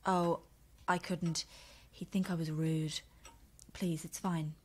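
An actress playing a shy, nervous, chatty romance novelist in a radio drama, hesitant, polite and self-deprecating. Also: female voice, lowish voice, little pitch movement, slow pace, a little mellow, slight background noise. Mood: polite, reluctant. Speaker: actor in an audio drama. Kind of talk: naturalistic radio drama acting.

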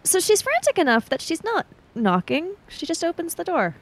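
A woman's voice: very high voice, wildly swooping pitch, moderate pace, low-quality recording. A woman playing a tabletop game, improvising narration of her character's actions and thinking aloud. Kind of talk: improvised third-person roleplay narration.

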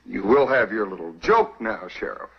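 An actor playing a slick, glib young counterfeiter with evasive, smooth talk. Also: male voice, fairly low pitch, lively intonation, moderate pace, heavily acted. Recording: dull old TV soundtrack with faint hiss.

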